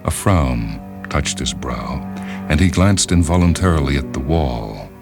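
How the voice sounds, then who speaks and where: Extremely deep man narrating fiction. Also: animated. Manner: measured, dramatic, grave. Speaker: narrator and reader. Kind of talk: scripted literary short story narration. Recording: music behind the narration. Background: orchestral music.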